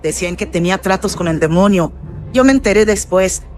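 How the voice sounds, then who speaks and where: A man's voice: high voice, brisk, darkish tone. Man voicing a frail, courteous old lady. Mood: humble, confiding. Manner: courteous, exclamatory old-fashioned speech. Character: frail, humble, elderly Spanish noblewoman, trusting and devout. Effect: voice processed with filters. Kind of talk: Spanish horror radionovela acting.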